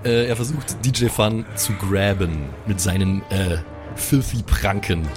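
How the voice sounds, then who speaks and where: Low-voiced male game master over music. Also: unhurried. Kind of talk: improvised narration and casual banter.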